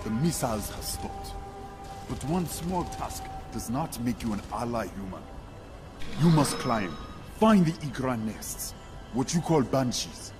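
A man voices a scornful alien warrior for a video game. His speech is formal and terse, with dramatic swings in pitch. Faint music plays underneath. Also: animated, unhurried, natural voice. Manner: stern, terse, formal pronouncements. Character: proud, distrustful alien Na'vi warrior, stern and scornful. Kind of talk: video game cutscene acting.

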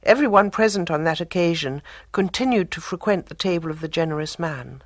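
A storyteller reading a tale as an audiobook, in a soft, breathy voice with measured delivery and dramatic pauses. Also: mid-range voice, no room sound, clean studio sound. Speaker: storyteller narrator. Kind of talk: scripted audiobook story narration.

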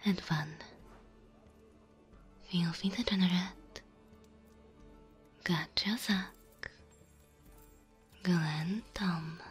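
A woman from Slavic Europe giving a soft, breathy, gentle ASMR outro of thanks, often in a whisper. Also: fairly low pitch, steady tone, very slow, long pauses, faint music behind. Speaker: ASMR channel creator.

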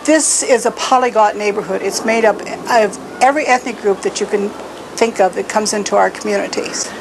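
A woman in an interview speaking with warm enthusiasm about her community. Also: mid-range voice, a little fast, vocal fry, noisy background. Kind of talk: interview talk.

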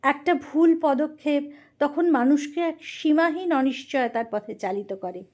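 Female announcer, soft-toned and fairly high, formal scripted delivery. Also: expressive, moderate pace. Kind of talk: scripted narration and credits.

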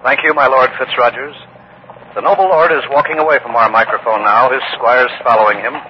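An actor playing a radio news reporter giving an on-the-scene commentary in a 1950s historical drama. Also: male voice, medium pitch, fairly even intonation, brisk, lightly acted. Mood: observant. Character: brisk male radio news reporter at medieval event. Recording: dull noisy old radio broadcast.

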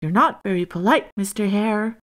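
A woman's voice: medium pitch, lively intonation, moderate pace, a bit bright, lightly acted. A woman voicing a polite, plucky little girl in a children's story.

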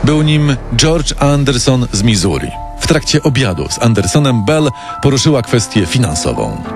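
Lively male true-crime narrator over music. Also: medium pitch, moderate pace.

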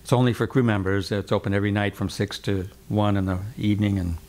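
Older man telling wry stories, low voice. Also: quick pace. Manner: relaxed, wry, punning. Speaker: guest musician. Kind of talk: conversational storytelling. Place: living room, indoors.

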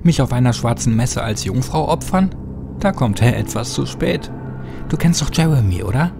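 A man's voice: medium pitch, animated, normal speed, gravelly, background noise, voice pushed up. A man voicing a scornful, carefree teenage girl chatting on the phone in a horror audiobook. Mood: scornful, flippant. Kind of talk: horror audiobook character reading.

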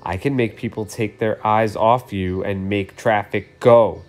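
A man's voice: fairly low pitch, fairly even intonation, measured pace, a little mellow, lightly acted. A man voicing an argumentative, indignant female green traffic light in a children's storybook, firmly retorting. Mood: angry. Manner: firm, retorting.

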